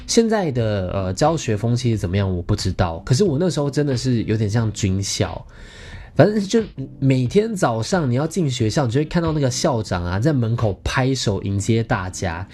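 Male podcast host, thirties, Taiwanese Mandarin accent, mid-pitched and very animated, playful casual storyteller, light music underneath. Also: normal speed. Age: 30s. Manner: animated, playful, self-mocking humour.

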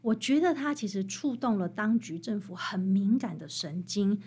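A woman with a Taiwanese Mandarin accent, explaining things as an interviewed author in an animated, emphatic storytelling manner. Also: medium pitch, moderate pace, husky. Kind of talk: expressive explanatory interview talk.

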